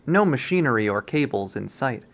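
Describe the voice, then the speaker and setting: A man reading a visual novel aloud as first-person narration, in a casual, expressive style. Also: mid-range voice, moderate pace, vocal fry. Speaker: visual novel readthrough host.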